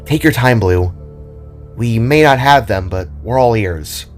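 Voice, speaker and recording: A man's voice: mid-range voice, expressive, unhurried, natural voice. Man putting on a supportive, curious young-hearted female friend. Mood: reassuring. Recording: background music behind the voice.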